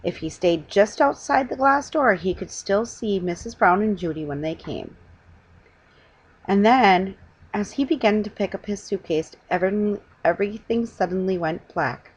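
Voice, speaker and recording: A middle-aged woman reads a children's storybook aloud with a husky voice, stumbling now and then and correcting herself. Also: mid-range voice, expressive. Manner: halting reading with stumbles and self-corrections. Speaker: reader. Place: small living room at home, indoors. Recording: dull lo-fi webcam sound.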